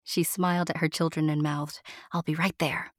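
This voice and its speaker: A woman narrating a scripted story straight to the listener in a playful, intimate, theatrical way, often hushed. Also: low-pitched, level tone, normal speed, no room sound, studio quality.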